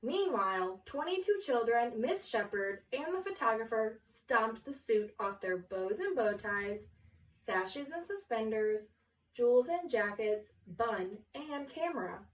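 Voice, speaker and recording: A woman reading a picture book aloud to children in an expressive storytelling style. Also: on the high side, unhurried, a little hiss or hum. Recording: echoey room, distant mic.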